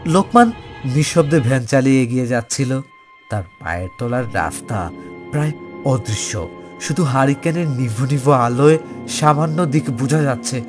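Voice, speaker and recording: A man's voice: mid-range voice, animated, moderate pace, music in the background. A man narrating a scripted horror tale for a cartoon.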